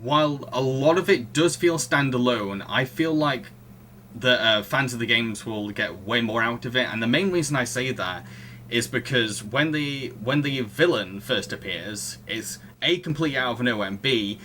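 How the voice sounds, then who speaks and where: A man with a very bright, forward voice at middle pitch, co-hosting a casual film podcast. He talks in a halting, amused way, with faint noise behind him. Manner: chuckles through sentences, halting. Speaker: podcast co-host.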